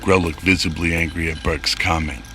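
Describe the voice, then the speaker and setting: A man's voice: deep voice, steady tone, normal speed, sharp tone, soft background music. A man narrating a scripted sci-fi radio drama.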